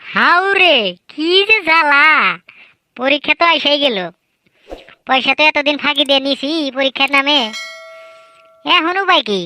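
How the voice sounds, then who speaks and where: Cartoon village son , female performer: sly teenage boaster, high and piercing, chatty slang. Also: normal speed, pauses often, bright, forceful tone. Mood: boastful. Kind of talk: comedy cartoon voice acting.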